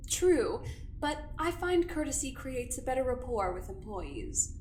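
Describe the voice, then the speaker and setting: A woman's voice: high-pitched, big pitch swings, a little slow, darkish tone, reverberant, slight background noise. A woman playing a coolly polite, imperious corporate doctor in an audio drama, speaking in formal, precise, condescending sentences. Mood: politely probing. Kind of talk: audio drama acting, dictated message and interrogation.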